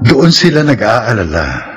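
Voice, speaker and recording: An actor playing a measured, reassuring doctor with a Filipino accent, explaining things in a radio drama. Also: male voice, mid-range voice, huge pitch swings, breathy edge, heavily acted. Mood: measured, advising.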